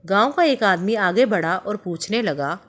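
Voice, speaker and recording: A woman telling a moral story for children in calm, measured scripted narration. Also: medium pitch.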